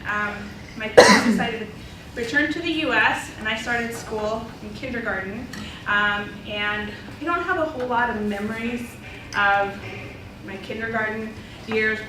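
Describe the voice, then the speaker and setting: A woman whose first language is Spanish, from Idaho, telling her story to an audience in a relaxed way with many ums. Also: mid-range voice, a little slow. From Idaho, USA. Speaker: panelist, ESL instructor. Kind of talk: personal talk to an audience. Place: college symposium hall. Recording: echoey hall, mic far off, noisy.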